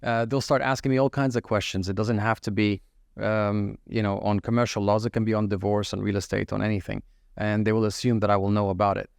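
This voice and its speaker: A middle-aged man from the UAE speaks with a low, gravelly voice. He answers like a practiced lawyer, measured and steady, though he often stops on fillers and restarts phrases. The sound is dry and close. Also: no room sound. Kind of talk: podcast interview answers.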